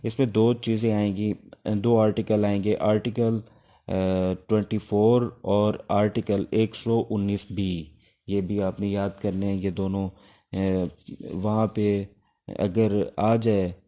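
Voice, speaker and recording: Pakistani guy teaching a class, deep soft-edged mellow voice, explains stuff with lots of pauses. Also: little pitch movement. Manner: explanatory, halting with pauses between phrases. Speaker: law teacher. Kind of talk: informal lecture explaining exam material.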